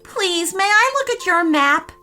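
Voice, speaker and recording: A woman's voice: extremely high pitch, lively intonation, a little slow, pushed and bright, soft background music, voice pushed up, heavily acted. A woman voicing a polite, helpful young boy adventurer in a bedtime story. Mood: polite, earnest. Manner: polite, earnest.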